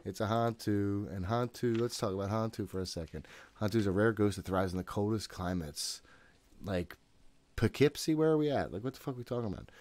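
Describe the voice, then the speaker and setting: A man's voice: on the low side, fairly quick, darkish tone. A man in his thirties giving casual, jittery, profane gameplay commentary, often talking to himself. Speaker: gaming host. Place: room at home.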